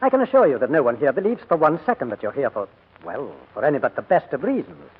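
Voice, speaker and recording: A man's voice: mid-range voice, wildly swooping pitch, fast talker, slightly rough. An actor playing a mild, polite father in a 1940s radio drama. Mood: concerned. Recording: tinny, narrow vintage radio recording.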